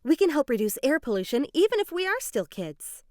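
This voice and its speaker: A woman giving an expressive, explanatory dramatized reading of an educational children's story. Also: high voice, fairly quick, no echo, clean studio sound. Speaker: children's book reader.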